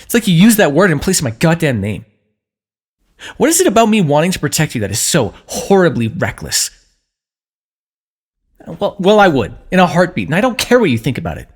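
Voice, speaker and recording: A man's voice: on the high side, very animated, a little fast, long pauses, slightly rough. A man voicing a protective, lovesick warrior king in a fantasy ASMR roleplay, pleading earnestly with the listener. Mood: pleading, tender.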